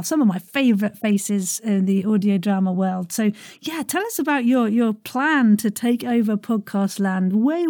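A woman's voice: a little high, mellow, no echo. Effusive woman hosting a chat, dry sound. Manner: effusive, playful, encouraging. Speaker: podcast host interviewing guests. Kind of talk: enthusiastic casual chat interview.

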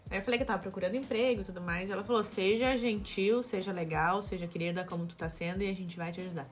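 A woman's voice: medium pitch, steady tone, fast talker, faint music behind. A woman from Brazil with a southern Brazilian accent, chatting casually and often checking for agreement with little tag questions. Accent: southern Brazilian Portuguese. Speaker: international student and job seeker abroad. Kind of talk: casual chat.

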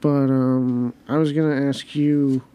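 A man hosting a podcast, talking personally and reflectively, hesitant and halting with frequent restarts. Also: medium pitch, flat delivery, mellow, smooth. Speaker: podcast host. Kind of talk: personal conversation.

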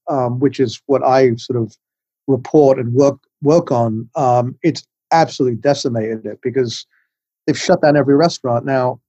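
A man's voice: mid-range voice, warm tone, husky, dry recording. English man, dull lo-fi remote line. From England. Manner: self-deprecating, rambling with fillers. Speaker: guest, food writer. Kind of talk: remote podcast interview.

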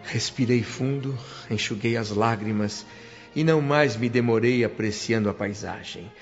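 A man's voice: medium pitch, moderate pace, natural voice. A man playing a wistful, wounded husband who narrates his own story in a radio drama, emotive and literary, with a Brazilian accent. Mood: eager, nostalgic. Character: wistful, wounded husband returned home as a spirit. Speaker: narrator and protagonist. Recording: dull lo-fi radio drama over music.

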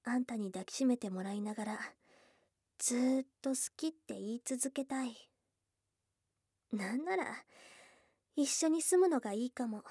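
A woman's voice: a little high, normal speed, long pauses, dark tone, breathy edge, no echo, clean studio sound. A woman voicing a shy, blunt young goblin girl in love, in an intimate ASMR voice drama with tomboyish, affectionate speech. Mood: loving, giddy. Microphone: close dry studio mic.

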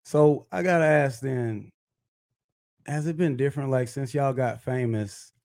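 A man with a Miami accent hosting a podcast, talking in a hyped, animated way and laughing often. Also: mid-range voice, unhurried. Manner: hype, animated, laughs a lot. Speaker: podcast host. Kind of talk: casual interview chat.

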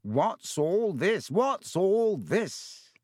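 A man voicing a vain, jovial teacher who booms with pompous, paternal self-satisfaction. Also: sing-song, drawn-out words, darkish tone, no echo, studio quality, heavily acted. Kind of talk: audiobook character voice.

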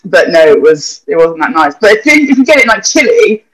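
A man's voice: sits high, big pitch swings, a little fast, a bit bright, poor audio. A young man, a vet, chatting casually on a podcast and rambling through sweary anecdotes full of fillers.

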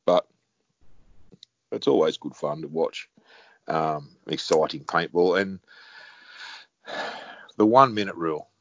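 A man's voice: deep voice, slow pace, pauses often, fairly bright tone, dull audio, a little hiss or hum. A man, a head referee talking casually in a wry, blunt way. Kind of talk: casual conversation.

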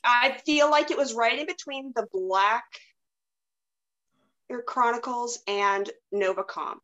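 A woman hosting a casual podcast chat, often halting as she searches for words. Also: on the high side, fairly even intonation, fairly bright tone, muffled recording. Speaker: podcast host.

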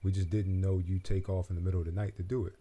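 Brief: soft-spoken motherly ladybug, put on by a male reader, gentle and caring, dark mellow tone. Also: on the low side, big pitch swings, a little fast, gravelly, breathy edge, dull audio, exaggerated voice. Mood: warm teasing. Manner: tender, affectionate.

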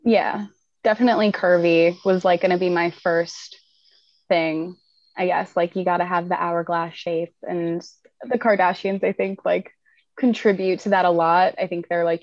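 A woman's voice: on the low side, fairly even intonation, normal speed, vocal fry, darkish tone, no room sound, highs cut off. A woman in her twenties chatting as a podcast guest, rambling with many fillers. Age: 20s. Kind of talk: casual group chat.

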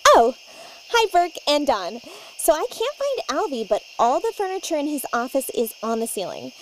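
Woman, comedy lead, high and lively, cheerfully unbothered. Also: normal speed, slight background noise, poor audio. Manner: bubbly, oblivious, cheerfully unbothered. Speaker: cast member. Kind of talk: comedic audio sketch.

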